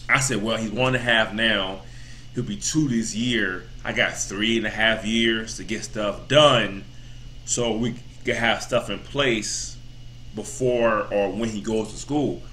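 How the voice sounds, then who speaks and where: A man's voice: lowish voice, sharp tone, slight background noise, poor audio. A man in his thirties giving a casual, rambling motivational talk as a vlogger, full of repeats and "you know" fillers. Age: 30s.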